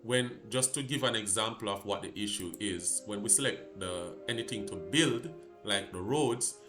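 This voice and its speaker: Jamaican guy talking low and bright over faint music, walks you through a game fix, lots of ums. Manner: halting, many fillers and restarts. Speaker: gaming channel host. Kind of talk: casual tutorial walkthrough.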